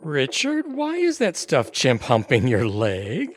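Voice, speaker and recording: A man playing an excitable, teasing sports fan in an audio theater comedy. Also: mid-range voice, slow, slight background noise.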